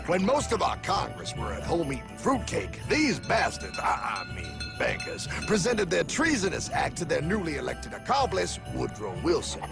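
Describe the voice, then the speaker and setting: A man's voice: a little high, wildly swooping pitch, moderate pace, creaky, hardly any pauses, full character voice. A man playing a brash, folksy cartoon character who narrates history in a theatrical, wisecracking way. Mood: sly, dramatic. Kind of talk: animated explainer narration with dramatic delivery. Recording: music bed under the voice.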